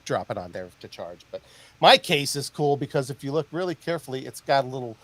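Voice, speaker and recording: A man's voice: medium pitch, very animated, quick pace, fairly bright tone. A man co-hosting a podcast in casual chat, rambling and searching for words with lots of fillers. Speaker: podcast co-host.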